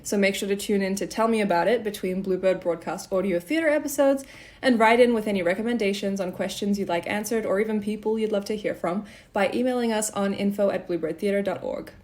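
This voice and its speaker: A woman hosts an interview podcast. Her voice sits at an average pitch for a woman. She moves between prepared introduction and casual conversation. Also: quick pace, darkish tone. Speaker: podcast host. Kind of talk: scripted introduction, then casual interview chat.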